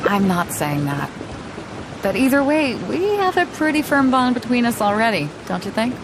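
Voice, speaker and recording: A woman's voice: fairly high pitch, very animated, moderate pace, background noise. An actress voicing a confident, laid-back female ship captain in a game cutscene, laughing easily. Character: bold, hearty female ship captain, easygoing. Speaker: game character. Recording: background game music under the voice.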